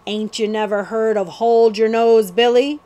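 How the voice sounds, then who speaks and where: A woman voicing a big, rough male highwayman in a storybook, gleefully menacing, with rustic outlaw talk. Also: fairly even intonation, unhurried, warm tone, close to an everyday voice. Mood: gleeful menace.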